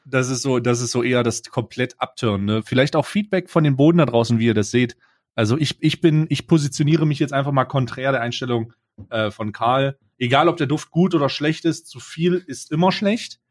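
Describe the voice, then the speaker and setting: A man's voice: mid-range voice, fairly quick. A man co-hosting a podcast in casual chat, frank and teasing, often stopping on fillers. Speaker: podcast co-host.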